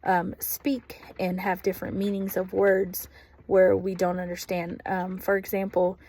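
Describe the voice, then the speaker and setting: A woman in her thirties with a Texas accent, an ESL aide giving a thoughtful spoken reflection with frequent pauses and ums. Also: on the low side, completely flat delivery, darkish tone, rough recording. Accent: Texan. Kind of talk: spoken reflection on a course video.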